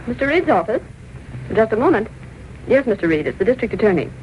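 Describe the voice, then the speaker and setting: A woman playing a brisk, wry young newspaper secretary in a 1930s radio drama, on a dull old recording. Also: on the high side, very animated, darkish tone, slight background noise.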